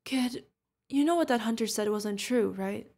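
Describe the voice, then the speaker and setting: A woman's voice: on the high side, moderate pace, a little mellow. A woman playing a fierce yet tender witch mentor who is protective of an adopted pupil, in an emotional fantasy audio roleplay. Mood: gentle, soothing. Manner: emotional, commanding, affectionate, pleading. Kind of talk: ASMR fantasy roleplay acting.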